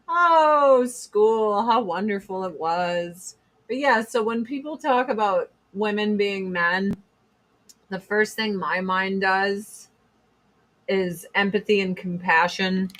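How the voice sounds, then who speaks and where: A woman in her forties with a deep voice, chatting bluntly and profanely on a casual livestream while doing her makeup. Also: fairly bright tone, poor audio. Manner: blunt, profane, sarcastic, reads chat comments aloud. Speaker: livestream host.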